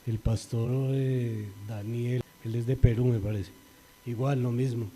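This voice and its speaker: A middle-aged Colombian man gives an earnest spoken testimony, his very dark, mellow voice lifting and swelling whenever he quotes scripture. It rings out in a hall with long reverb, and his pitch stays in the middle range for a man. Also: steady tone, measured pace, slight background noise. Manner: earnest, rises and grows louder when quoting scripture. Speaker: church member giving testimony.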